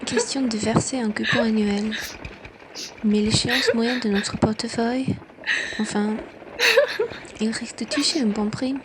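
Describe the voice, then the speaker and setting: Businesslike bourgeois traveller for radio drama, female actor; fairly high pitch, clearly noisy recording. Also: steady tone, measured pace, pauses often, natural voice. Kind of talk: French radio play acting.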